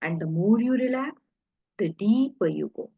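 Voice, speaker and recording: A woman leading a guided hypnosis relaxation in a soothing, deliberate way, pausing between short phrases, with a husky, breathy voice. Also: medium pitch, lively intonation, no echo, muffled recording.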